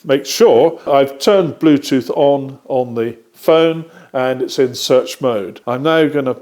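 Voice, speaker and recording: A British man presenting a product demonstration step by step, explaining methodically and often pausing mid-sentence. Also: medium pitch, a little mellow.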